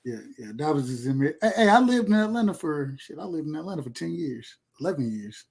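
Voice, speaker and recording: A man's voice: a little high, sing-song, fast, creaky, a little mellow, breathy edge. A man in his thirties from rural Georgia with a Southern accent, chatting casually and emphatically in slangy, profane, repetitive phrases. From rural Georgia, USA. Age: 30s. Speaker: podcast speaker. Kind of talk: casual chat.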